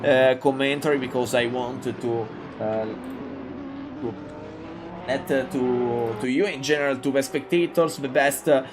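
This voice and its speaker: A man from Italy with an Italian accent, calling a live sim race in halting English with many fillers and excited jumps. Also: mid-range voice, over music. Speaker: race commentator. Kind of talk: live sim racing commentary.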